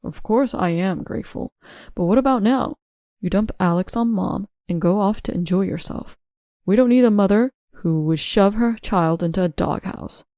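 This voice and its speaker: A woman's voice: medium pitch, moderate pace, mellow, dry recording, close to an everyday voice. A woman voicing a stern, wronged husband who speaks with cold, accusing firmness in an audio story. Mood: stern anger.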